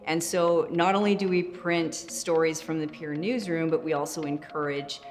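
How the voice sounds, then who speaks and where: A woman's voice: low-pitched, fairly even intonation, normal speed, soft background music. A woman speaking as an editorial director, encouraging and affirming as she explains the work. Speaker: editorial director of a peer newsroom. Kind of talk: interview remarks and meeting talk. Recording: roomy echo, chatter of a meeting.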